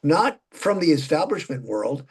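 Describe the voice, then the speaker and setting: A husky, breathy man in his late seventies with a Minnesotan accent, his voice sitting a bit high for a man. He talks in a folksy, rambling way, chuckling now and then as he makes his points. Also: very animated, measured pace. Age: 70s. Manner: folksy, chatty, laughs while talking. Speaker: political candidate. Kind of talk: casual political conversation.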